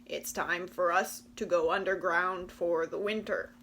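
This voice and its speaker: A woman voicing a chorus of frogs in a lower, sing-song chanting voice. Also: steady tone, a little slow, fairly bright tone, a little hiss or hum.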